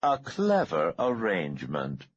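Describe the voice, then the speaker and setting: A man voicing a courtly, smooth-talking male billionaire with an oily, polite manner. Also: low-pitched, wildly swooping pitch, measured pace, dry recording, highs cut off, exaggerated voice.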